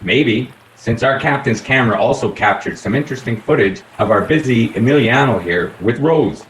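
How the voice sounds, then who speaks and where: Man as radio play detective, accusatory, deliberate, theatrical, very animated, faint noise. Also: mid-range voice, close to an everyday voice. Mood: insinuating. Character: shrewd, theatrical police inspector unveiling a murder. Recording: roomy, faint background noise.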